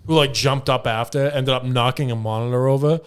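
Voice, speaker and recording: A man's voice: mid-range voice, steady tone, fairly quick, slight background noise. A man co-hosting a podcast, chatting casually and informally with some swearing. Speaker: podcast co-host.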